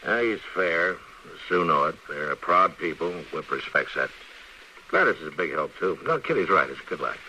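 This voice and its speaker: Low, forceful radio western marshal. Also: male voice, lively intonation, normal speed, exaggerated voice. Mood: easygoing. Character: steady, laconic frontier US Marshal. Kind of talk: old-time radio western drama acting. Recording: old radio recording, distant, hissy.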